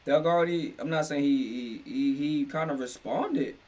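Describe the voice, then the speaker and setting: A man's voice: on the high side, normal speed, darkish tone, distant mic. A man giving casual, unscripted reaction commentary, slangy and profane, repeating himself for emphasis. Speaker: reaction video host.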